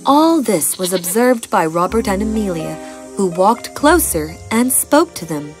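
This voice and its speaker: A woman's voice: medium pitch, big pitch swings, unhurried. A woman reading a scripted fairy tale as a storyteller. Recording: music bed behind the narration.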